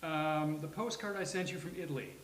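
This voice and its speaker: A man's voice: fairly high pitch, brisk, lightly acted. A man playing a wry, slightly anxious man in a sitcom table read, with dry, hesitant delivery. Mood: eager. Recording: hissy, roomy live recording.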